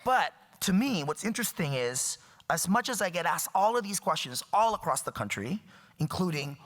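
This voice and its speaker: Thirties man from the Philippines as a guest speaker, wry and emphatic, bright voice carrying through a reverberant hall. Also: sits high, big pitch swings, moderate pace. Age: 30s. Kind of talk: public talk. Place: lecture hall.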